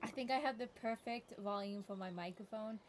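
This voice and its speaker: A woman's voice: mid-range voice, a little fast, noisy background. A woman streamer giving casual livestream commentary while playing a video game. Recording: game music behind the voice.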